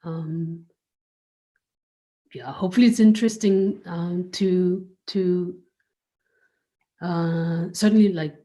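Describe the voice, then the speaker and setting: Female curator as interview guest: unhurried, reflective, searching for words, relaxed lowish voice. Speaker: curator and art space director, guest.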